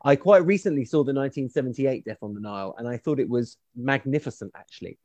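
A man's voice: medium pitch, fast, darkish tone, dry recording, dull audio. Erudite man, very dry recording. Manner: erudite, opinionated. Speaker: podcast co-host, historian. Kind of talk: casual critical discussion.

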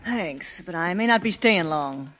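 A woman's voice: fairly low pitch, expressive, a little slow, a bit bright, big-room sound. An American actress playing a tough, plucky female numbers-game boss in 1930s radio drama style, on a vintage recording. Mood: bitter, hurt.

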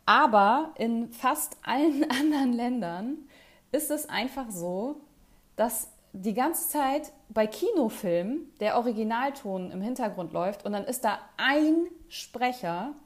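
A woman chatting casually with a friend, talkative and quick to laugh, with lots of fillers. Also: fairly high pitch, expressive. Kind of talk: casual chat.

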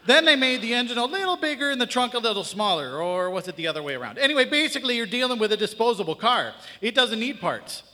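Comedy parts clerk played by a man: matter-of-fact, blunt, folksy, forceful high voice, reverberant live hall. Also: animated, heavily acted. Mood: knowing, blunt. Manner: brisk jargon, blunt, folksy. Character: know-it-all, matter-of-fact male parts counterman. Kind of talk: live audio comedy acting.

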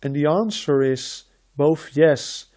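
A man from the Netherlands, a shaman hosting a podcast, speaking in a slow, measured, reflective way with repeated phrases. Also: fairly high pitch, mellow. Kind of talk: slow reflective spiritual talk.

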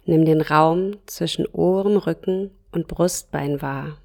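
A woman leads a guided yoga nidra meditation in a soft, calm voice. She speaks very slowly, leaving long pauses between short phrases, in a fairly low register. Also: gravelly.

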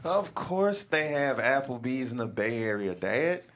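A man's voice: mid-range voice, sing-song, unhurried, fairly bright tone. An actor at a table read playing an awkward, rambling fourteen-year-old boy. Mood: flustered. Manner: rambling, flustered.

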